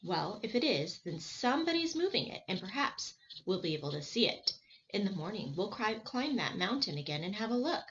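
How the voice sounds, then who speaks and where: An earnest young boy from a storybook adventure, read by a woman. He is eager and brave with a shy streak, on a dull recording with clear background noise. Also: medium pitch, big pitch swings, a little fast, fairly bright tone. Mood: reassuring.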